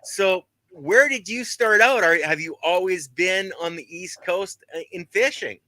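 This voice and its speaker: A man from Alberta hosting a casual interview podcast, chatty and quick with short interjections. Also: sits high, pushed and bright, dry recording. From Alberta, Canada. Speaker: podcast host.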